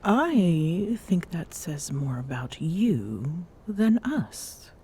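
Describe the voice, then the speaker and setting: Woman playing a low, slow, smitten Amazon queen. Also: big pitch swings, warm tone, a little hiss or hum, heavily acted. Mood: coy, hesitant. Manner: formal, haughty diction with coy hesitations and murmured endearments. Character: smitten, regal Amazonian warrior queen, teasing and possessive. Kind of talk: intimate ASMR romance roleplay.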